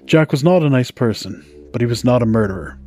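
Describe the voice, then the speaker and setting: A man's voice: medium pitch, expressive, brisk, warm tone. A man hosting a podcast, narrating folktales from a script in a storytelling style. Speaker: podcast host. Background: music.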